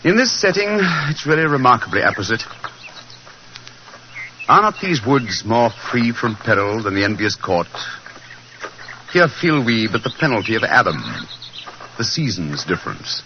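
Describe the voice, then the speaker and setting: A man playing an eloquent, refined British gentleman detective with precise diction in a 1940s radio drama. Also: medium pitch, expressive, moderate pace, long pauses, close to an everyday voice. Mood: declaiming. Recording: noisy old 1940s radio recording, dull sound.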